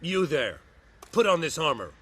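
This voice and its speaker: A haughty imperial general in a fantasy game. His voice is bright and a little high, with sweeping intonation, giving clipped formal orders full of disdain. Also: sing-song, moderate pace, slightly rough, exaggerated voice. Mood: commanding. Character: haughty, imperious male general of an invading empire. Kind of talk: fantasy video game acting.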